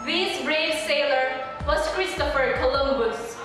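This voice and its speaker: A woman's voice: sits high, a little slow. A young adult woman, a student narrator reading scripted storybook narration. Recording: echoey hall from far off, music behind.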